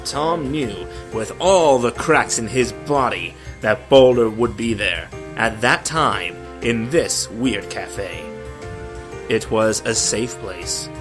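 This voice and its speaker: A man's voice: mid-range voice, takes it slowly, over music. A man giving a dramatic, comedic story reading in a theatrical, sardonic manner with exasperated asides. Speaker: narrator of a story.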